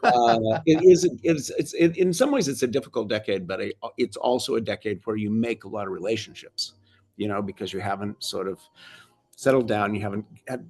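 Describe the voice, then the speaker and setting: A man's voice: lowish voice, vocal fry, darkish tone, breathy edge. An older American man, a film director, talking casually on a video call and often restarting his sentences. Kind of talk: casual interview chat.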